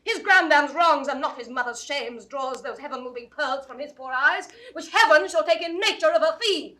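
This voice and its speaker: An embittered mother defending a child in a classical stage drama. The voice is high, sharp and forceful, full of mockery and accusation. The accent is old theatrical British, with impassioned declamation. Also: normal speed. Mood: bitter accusation. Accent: British English, old theatrical received pronunciation. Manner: biting, mocking, impassioned declamation. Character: bitter, fiercely protective mother, scornful and accusing. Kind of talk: mid-century classical Shakespearean stage acting.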